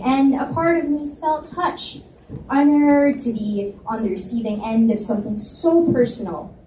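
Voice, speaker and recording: A woman narrating a novel in the first person at a live library reading, lively and expressive. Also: fairly high pitch. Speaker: reader and narrator. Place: library event space. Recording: roomy, distant mic with faint hiss.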